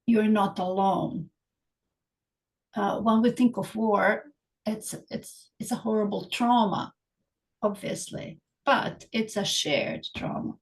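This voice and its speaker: A woman's voice: medium pitch, fairly even intonation, slow. A middle-aged woman from Bosnia raised in Serbia, speaking as a psychologist guest in an interview, thoughtful with frequent hesitations and restarts. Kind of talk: interview conversation.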